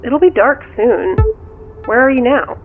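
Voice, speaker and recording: A woman's voice: a little high, expressive, a little slow. Curious level-headed woman friend on the phone, tinny line, chatty and a bit hesitant, audio drama, faint music. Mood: friendly, probing. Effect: phone call filter. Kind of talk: naturalistic audio drama dialogue.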